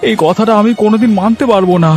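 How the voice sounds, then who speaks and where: Man voicing a high-pitched, plaintive village fish merchant. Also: fairly even intonation, racing, a little mellow, faint music behind. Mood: indignant, pleading. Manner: pleading, plaintive. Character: wronged, anxious village fish merchant and husband. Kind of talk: Bengali cartoon story acting.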